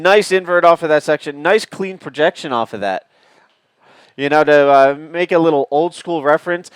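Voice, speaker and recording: Booming-voiced male commentator. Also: clear, resonant tone, no room sound. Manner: booming voice, joking, explains details. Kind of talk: live sports commentary.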